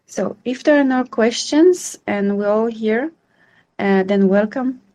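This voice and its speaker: A woman's voice: fairly high pitch, takes it slowly, mellow. A woman in her thirties from Israel, hosting an online support group in a welcoming, reassuring way over a video call. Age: 30s.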